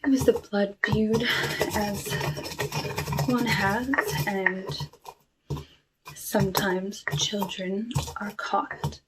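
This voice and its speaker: A woman playing a cursed outcast witch of the woods in an ASMR roleplay, speaking softly and often whispering, with a wistful, genteel manner. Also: mid-range voice, level tone. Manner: soft, unhurried, wistful, genteel, drawn-out phrasing. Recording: noisy, with clicks and dish clatter.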